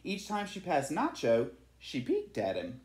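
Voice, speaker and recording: A storyteller reading a children's storybook aloud in an animated, dramatic way. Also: medium pitch, unhurried, roomy sound.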